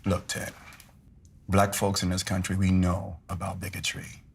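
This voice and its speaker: A low-pitched man of the church, urging with earnest conviction. He speaks persuasively, as in a film drama, over faint background ambience. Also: completely flat delivery, a little slow, gravelly, a little hiss or hum.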